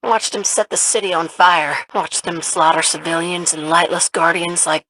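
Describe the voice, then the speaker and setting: A woman's voice: lowish voice, steady tone, normal speed, fairly bright tone, no room sound, rough recording. A woman playing a tough, loyal, folksy fighter pilot in a video game, with an American Southern accent and plainspoken drawl. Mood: bitter.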